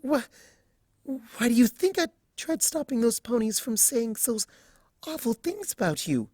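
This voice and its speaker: A timid young female pony from a storybook acted out by a narrator, pitched well above the reader's own voice. She is gentle and anxious, stammering hesitantly in a dry recording. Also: sing-song, measured pace, no room sound. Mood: worried. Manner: hesitant, stammering, soft-spoken. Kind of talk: storybook character voicing.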